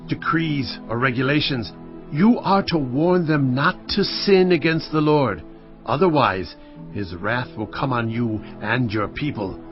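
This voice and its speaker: A man's voice: mid-range voice, close to an everyday voice. An actor playing an earnest king of Judah who gives solemn commands and prays aloud for help, in a dramatised scripture reading. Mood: commanding. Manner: solemn, commanding, pleading in prayer. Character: King Jehoshaphat, earnest man leading his people. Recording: slightly muffled recording with faint music.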